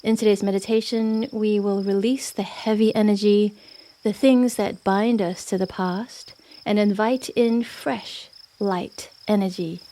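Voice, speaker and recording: A woman guiding a yoga nidra meditation in a gentle, unhurried manner with long pauses. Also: medium pitch, fairly even intonation. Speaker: meditation guide. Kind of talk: guided yoga nidra meditation, soothing narration.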